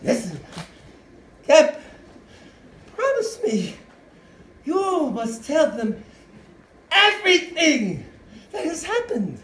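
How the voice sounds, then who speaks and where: A woman's voice: sits high, huge pitch swings, slow pace, long pauses, a bit bright, heavily acted. A woman on stage playing an obsessive, grandiose, doomed professor with anguished, overwrought delivery. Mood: weak, urgent. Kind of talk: theatrical stage melodrama. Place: live on a theater stage. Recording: echoey theater stage, faint noise.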